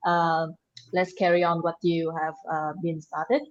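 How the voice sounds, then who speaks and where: A woman's voice: fairly low pitch, little pitch movement, unhurried, dull audio. A woman hosting a panel over a video call, upbeat and encouraging. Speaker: host.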